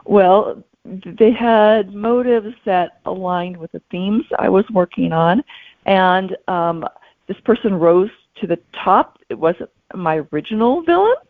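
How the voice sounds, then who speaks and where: A woman's voice: mid-range voice, takes it slowly, dry recording. A woman speaking as a guest novelist, explaining her work reflectively in a casual interview. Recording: thin phone-like audio.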